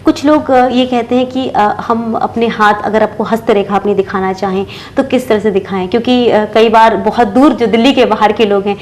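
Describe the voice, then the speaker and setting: A woman's voice: on the high side, fairly even intonation, moderate pace, few pauses, a little hiss or hum. A woman hosting an interview show, putting long-winded questions to her guest. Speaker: programme host interviewing an astrologer.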